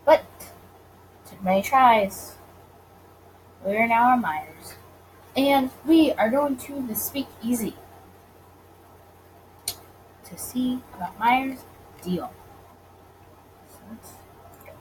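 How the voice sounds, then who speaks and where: A woman's voice: on the high side, expressive, slow, long pauses, rough recording. A woman gaming creator chatting casually and reading visual novel narration aloud, recorded with faint noise behind her. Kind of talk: casual let's play with read-aloud narration.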